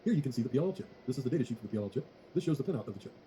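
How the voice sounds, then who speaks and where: A man's voice: medium pitch, big pitch swings, rapid-fire, mellow, slight background noise. A man narrating a scripted technical tutorial in a formal, methodical way. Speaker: tutorial presenter.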